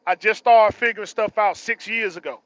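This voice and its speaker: A man's voice: sits high, a little fast, fairly bright tone, muffled recording, a little hiss or hum. A man in his early forties giving a casual, slangy motivational monologue, emphatically repeating phrases for stress. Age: early 40s. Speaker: truck driver vlogger.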